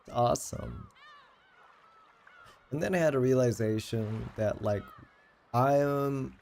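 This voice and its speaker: A man's voice: medium pitch, takes it slowly, creaky, darkish tone, a little hiss or hum. A man in his late twenties chatting casually on a livestream, rambling and self-deprecating with plenty of swearing. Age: late 20s. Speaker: game livestreamer.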